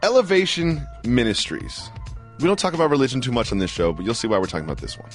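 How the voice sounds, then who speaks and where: A man's voice: mid-range voice, animated, fast talker, faint music behind. An American man co-hosting casual sports radio banter, speaking emphatically, often repeating himself and asking lots of questions. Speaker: sports talk show co-host.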